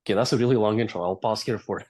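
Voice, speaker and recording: A man's voice: lowish voice, racing, a little mellow. A man in his thirties from Latvia with a Latvian accent, telling his story as a guest in a casual interview, fluent with frequent "uh" and "right?". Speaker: company founder, interview guest.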